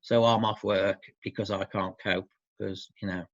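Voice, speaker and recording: Low-voiced man, muffled roomy interview. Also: flat delivery, normal speed. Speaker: interviewee, support service worker for dads. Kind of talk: informal interview answers.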